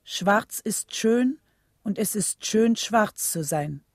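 Medium-pitched woman narrator, dry sound. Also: expressive, slow pace, dry recording. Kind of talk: scripted documentary drama narration.

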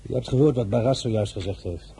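An actor playing a proud, ambitious, brooding young general in a radio drama, with a sardonic manner. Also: male voice, lowish voice, fast talker, mellow, a little hiss or hum, lightly acted, poor audio. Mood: bitter, scheming.

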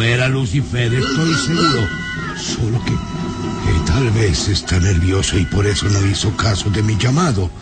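An actor playing an irascible, imperious baron of a gloomy castle in an old radio serial, commanding and scornful. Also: male voice, lowish voice. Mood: uneasy, brusque.

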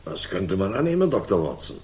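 An actor in a 1960s German radio drama playing an earnest, businesslike police inspector who reasons in a formal manner. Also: male voice, low-pitched, big pitch swings, a little fast, a little mellow. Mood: businesslike, questioning.

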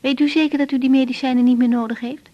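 An actress playing a caring, reflective young woman doctor in a radio drama, gentle and slightly hesitant. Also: high voice, fairly even intonation, fast, dull audio, lightly acted. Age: young adult. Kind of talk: radio drama dialogue and diary-like narration.

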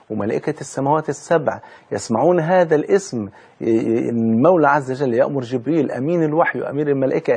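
An Egyptian man preaches in a husky voice of medium pitch. He talks quickly and emphatically, telling religious stories in colloquial speech mixed with formal recited quotations. He often stresses points through repetition and rhetorical questions. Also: darkish tone. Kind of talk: religious storytelling sermon mixing colloquial talk with formal recitation of scripture.

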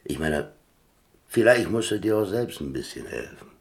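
Deep-voiced, wry old-school adventurer. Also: male voice, sing-song, normal speed, creaky, full character voice. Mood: gruff, practical. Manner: colloquial, dry, musing. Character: laconic, wry German ex-prisoner and adventurer telling his story. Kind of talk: radio drama acting and first-person narration.

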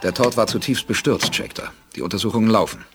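An actor playing a self-assured male spaceship commander in a 1980s audio drama, brisk and authoritative. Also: on the low side, big pitch swings, background noise. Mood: firm, businesslike.

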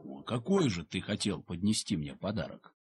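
An actor playing a middle-aged, grumbling farm director who mutters wryly in an audio play. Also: male voice, low-pitched, very animated, moderate pace, husky, no room sound, exaggerated voice. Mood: curious. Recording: slightly muffled old cassette transfer.

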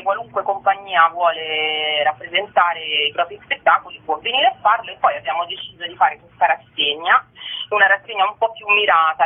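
A woman's voice: fairly low pitch, slightly rough. A woman being interviewed on radio, explaining casually and discursively, often qualifying what she says as she goes. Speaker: guest, member of a theatre collective. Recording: muffled thin phone-like radio sound.

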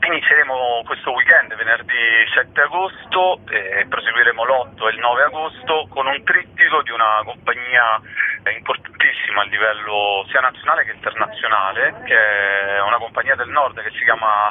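A man being interviewed as a festival curator, explaining in a slightly halting way over a thin telephone line. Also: mid-range voice.

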